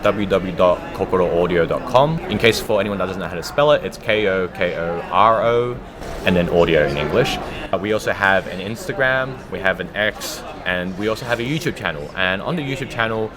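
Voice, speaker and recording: A man's voice: low-pitched, brisk, crowd noise. A man speaking conversationally as a guest producer in an interview, recorded live at a convention. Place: live convention, busy surroundings.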